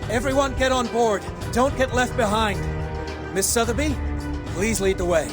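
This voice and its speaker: An actor playing a brisk, commanding escape driver in a video game, rallying companions. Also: medium pitch, close to an everyday voice. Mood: urgent, commanding. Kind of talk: dramatic video game acting. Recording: music under the voice.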